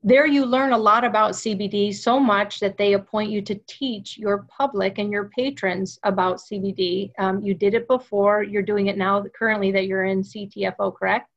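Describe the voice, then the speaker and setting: A woman hosting a sales interview over a video call from her home office, speaking with animated enthusiasm. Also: mid-range voice, normal speed, hardly any pauses, highs cut off. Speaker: webinar host. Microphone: webcam video call.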